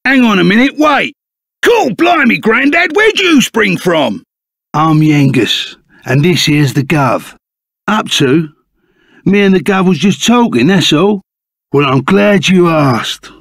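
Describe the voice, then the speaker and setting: An actor voicing a burly, good-hearted ex-bandit in a video game, with a London Cockney accent and a blunt, bantering, colloquial manner. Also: male voice, high voice, lively intonation, slow, vocal fry, fairly bright tone, no room sound, clean studio sound, heavily acted. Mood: puzzled, gruff, then boastful storytelling. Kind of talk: video game character acting.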